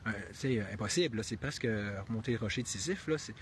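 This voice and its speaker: A man's voice: mid-range voice, lively intonation, fast talker, a little hiss or hum. Rambling Québécois man thinking aloud. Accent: Québécois French. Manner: rambling, thinking aloud with many restarts and fillers; earnest, with bursts of emphatic rhetorical questions. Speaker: live streamer. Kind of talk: unscripted live commentary.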